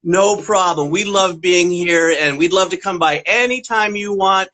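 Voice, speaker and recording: Guy who teaches kids music, chatting on a puppet show, gentle and kinda rambly. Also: high voice, unhurried. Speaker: guest musician, children's ukulele teacher.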